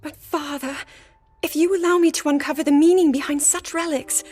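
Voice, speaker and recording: A woman acts a youthful princess from a fantasy game. Her voice is high and earnest, with a formal, anxious delivery over background music. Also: steady tone, brisk, a little mellow, natural voice. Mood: pleading. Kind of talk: dramatic video game cutscene acting.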